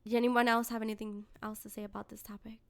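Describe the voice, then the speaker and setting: Casual female podcast host. High, smooth voice; informal, giggly delivery with loose swearing. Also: little pitch movement, quick pace, a bit bright. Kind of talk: casual chat.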